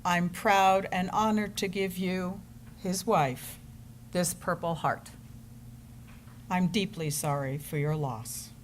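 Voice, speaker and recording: A woman's voice: fairly low pitch, steady tone, takes it slowly, slight background noise. A woman narrating and reading aloud from a script in a readers theater program.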